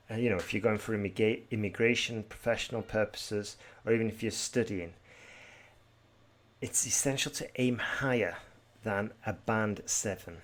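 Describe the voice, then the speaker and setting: A British man from Britain teaching an exam-prep podcast tutorial in a brisk, direct way, with some fillers and restarts. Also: on the low side. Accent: British English.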